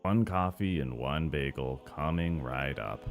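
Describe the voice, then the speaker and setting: A man voicing a depressed cafeteria worker in an animation, with a deep, gravelly voice and a weary, sighing delivery. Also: unhurried. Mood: weary, resigned. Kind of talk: animated series voice-over takes. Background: background music under the voice.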